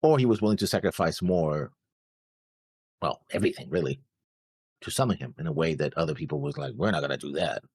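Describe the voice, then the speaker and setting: A man's voice: a bass voice, sing-song, brisk, creaky, pauses often, darkish tone, slightly rough, no room sound, studio quality. A man co-hosting an anime show, chatting casually in a laid-back way. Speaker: co-host of an anime reaction show. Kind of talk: casual chat.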